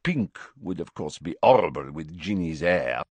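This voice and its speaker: A man's voice: sits low, lively intonation, normal speed, a little mellow, dry recording. A man voicing a self-assured, fussy young bride-to-be in an audiobook, gushing and opinionated. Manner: in full flow, opinionated.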